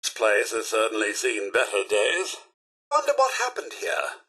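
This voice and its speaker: Game robot butler: British, prim and fussy, raspy edge, very animated, exasperated but devoted. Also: male voice, fairly low pitch, normal speed, full character voice. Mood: dismayed. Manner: formal, theatrical, deferential, prim. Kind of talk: video game character voice acting.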